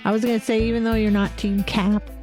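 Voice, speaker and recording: A woman's voice: medium pitch, level tone, quick pace, a little mellow, soft background music. A woman co-hosting a podcast, talking in a casual, conversational way. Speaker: podcast co-host. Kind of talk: casual chat.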